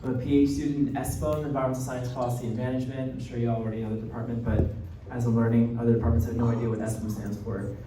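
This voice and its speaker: A man's voice: mid-range voice, level tone, rapid-fire, few pauses, mellow. A young American man from New Jersey giving an informal academic talk as a guest speaker, conversational and often checking in with the listeners. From New Jersey, United States. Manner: conversational, often tags points with "right?". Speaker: guest lecturer, graduate student. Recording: muffled, echoey room, distant mic, faint noise.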